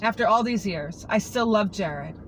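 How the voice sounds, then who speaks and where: Heartbroken anchorwoman pouring her heart out to a coworker, earnest and pleading, middle pitch, as a reader puts her on. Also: expressive, normal speed, fairly bright tone, slight background noise, low-quality recording. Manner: earnest, pleading, confiding to a colleague. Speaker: monologue reader. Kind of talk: dramatic monologue reading.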